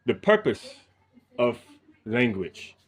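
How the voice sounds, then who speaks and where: Male host for casual interview, average pitch, halting phrasing with occasional Spanish words. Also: big pitch swings.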